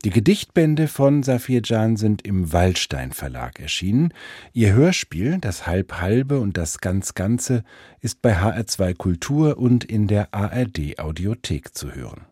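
Man, radio interviewer, raspy voice, fairly low, close dry studio sound. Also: big pitch swings, unhurried, warm tone, dry recording.

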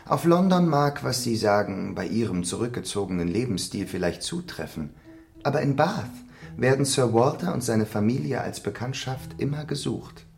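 A man playing a suave, worldly, status-minded gentleman in a literary radio play, polished and courteous in his persuasion. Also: medium pitch, lively intonation, normal speed, gravelly. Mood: amused, persuasive.

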